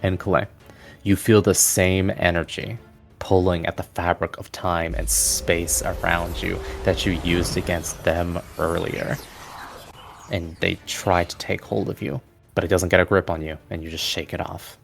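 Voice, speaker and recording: Deep-voiced man running a tabletop game. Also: unhurried, vocal fry, faint music behind. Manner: descriptive, steady narration of combat. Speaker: game master.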